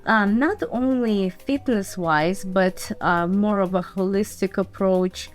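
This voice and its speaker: A woman's voice: mid-range voice, takes it slowly, faint music behind. A woman from Belarus and Ukraine, a podcast guest telling her story casually in an interview. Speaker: podcast guest, fitness entrepreneur.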